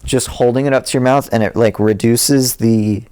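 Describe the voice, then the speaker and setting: A man's voice: mid-range voice, fairly even intonation, moderate pace, darkish tone, clear, resonant tone. Easygoing rambling male musician guest. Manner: rambling, self-deprecating, laughs often. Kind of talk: casual interview chat.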